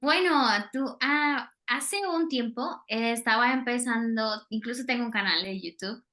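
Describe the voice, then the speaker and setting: Slow-talking Colombian woman, bright voice. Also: a little high. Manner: hesitant, many fillers, laughs often. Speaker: Spanish teacher, interview guest. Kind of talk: casual interview talk.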